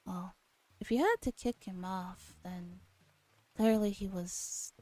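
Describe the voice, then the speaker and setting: A woman streamer gently reading a visual novel aloud in a soft ASMR style, relaxed and chatting casually, at times dropping to a whisper. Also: mid-range voice, slow pace. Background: background music.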